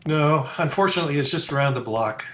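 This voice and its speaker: A man's voice: on the high side, expressive, quick pace, fairly bright tone. Man playing a wisecracking engaged young guy, sardonic, slangy, old-time radio drama, echoey room, faint noise. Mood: sardonic griping. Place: indoor room, recorded over a video call. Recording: roomy echo, noisy home video-call recording.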